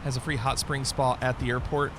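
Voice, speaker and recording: A man's voice: mid-range voice, flat delivery, brisk, noisy background. A man streaming a flight simulator live, chatting casually and answering viewer comments between radio calls.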